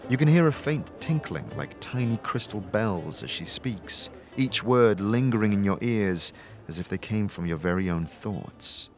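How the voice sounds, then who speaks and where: A man narrates a fantasy audio drama in a fairly low voice. His delivery is dramatic and descriptive, with lively intonation, and music plays faintly behind him. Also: a little slow. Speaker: narrator and game master.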